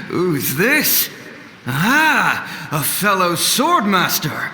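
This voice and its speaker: A man's voice: sits high, bright, forceful tone, a little hiss or hum, exaggerated voice. An actor playing a spirit-possessed young swordsman who taunts and eagerly challenges his foe. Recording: echoey reverb, from a distance.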